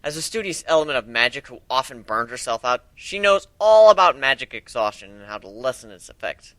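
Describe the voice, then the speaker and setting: A young man reads a story aloud as an amateur dramatic narrator. His voice is mid-pitched, bright and forceful. The recording is dry, with little room sound. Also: animated, brisk, no echo, rough recording.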